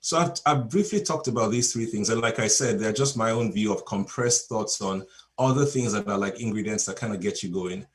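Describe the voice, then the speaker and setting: A man's voice: fairly low pitch, a little fast, a little hiss or hum. Nigerian man coaching on a video call. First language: Yoruba. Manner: storytelling, relaxed, chuckles between points. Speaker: coach and speaker. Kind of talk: webinar talk to a video call.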